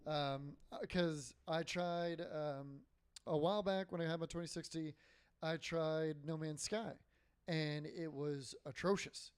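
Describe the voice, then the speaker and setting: A man co-hosting a casual gaming podcast, his voice hoarse and dry, sitting a little higher than most men's. He rambles through lots of fillers and swearing. The sound is dry and close, with no room in it. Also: steady tone, unhurried, no echo. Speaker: podcast co-host.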